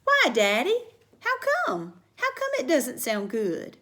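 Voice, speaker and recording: A woman reading a storybook aloud, voicing an excitable, bossy little kindergarten girl who squeals and shouts. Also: high voice, takes it slowly, voice pushed up, exaggerated voice. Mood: pleading.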